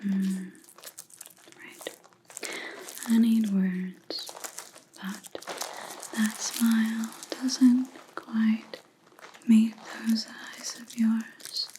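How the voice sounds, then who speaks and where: A woman playing a stern, menacing vampire hunter in an ASMR roleplay, speaking in a breathy whisper with deliberate, taunting pauses. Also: on the high side, little pitch movement, warm tone, slight background noise, low-quality recording. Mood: cold, contemptuous. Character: stern female vampire hunter interrogating a captive, menacing, contemptuous.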